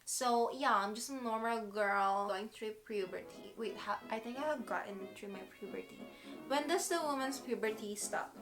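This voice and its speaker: A woman's voice: a little high, moderate pace, over music, rough recording. Chatty Filipino woman in her twenties. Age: 20s. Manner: chatty, giggly, rambling. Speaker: beauty vlogger. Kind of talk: casual chat to camera.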